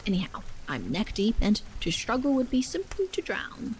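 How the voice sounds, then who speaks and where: A woman voicing an amiable, bookish gentleman in a story, genteel and wry in a measured way. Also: mid-range voice, breathy edge, exaggerated voice. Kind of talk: audiobook character dialogue. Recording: steady rain sound over the voice.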